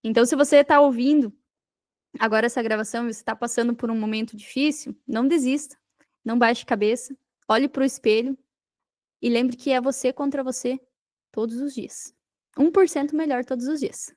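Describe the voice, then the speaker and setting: A woman's voice: a little high, fairly even intonation, brisk, darkish tone, no echo, studio quality. A Brazilian woman giving motivational talk as a podcast guest, emphatically repeating her points and speaking straight to the listener. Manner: emphatic, repeats phrases, addresses listener directly. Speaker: podcast guest, digital marketer.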